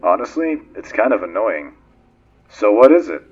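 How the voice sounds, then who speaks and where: A man's voice: fairly low pitch, sing-song, normal speed, husky, muffled recording. A man playing a cocky, ambitious space freighter co-pilot in a game fan dub, talking in a wry, offhand, needling way. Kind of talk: dramatic game fandub dialogue.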